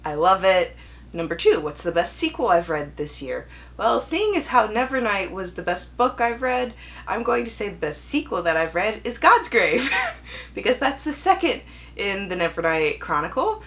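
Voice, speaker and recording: A young woman talking casually to viewers as a book vlogger, with animated emphasis and wry, self-deprecating asides. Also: medium pitch, moderate pace. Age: young adult. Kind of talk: casual chatty video to viewers.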